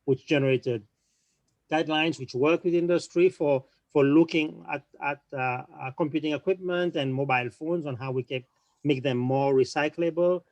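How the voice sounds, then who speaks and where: Brisk man speaking on a panel. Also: a little high, a little mellow, dry recording, highs cut off. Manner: quick, with frequent restarts and repeated words. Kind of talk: online panel discussion.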